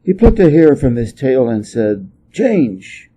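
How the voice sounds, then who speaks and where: A man's voice: mid-range voice, very animated, normal speed, mellow, a little hiss or hum, poor audio. A man reading a story aloud as a scripted narration. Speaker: story reader.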